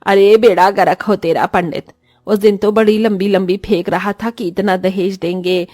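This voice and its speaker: A woman's voice: medium pitch, steady tone, normal speed, dark tone, dry recording, studio quality, lightly acted. A woman voicing a greedy, scolding mother-in-law in a cartoon story, with a taunting, melodramatic manner. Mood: exasperated, cursing. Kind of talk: Hindi cartoon story voice acting.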